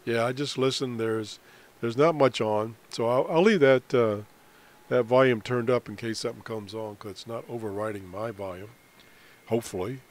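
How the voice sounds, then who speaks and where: A man explains software in an unscripted screencast tutorial, halting often in mid-sentence as he goes. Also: mid-range voice. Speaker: amateur radio hobbyist presenting a tutorial.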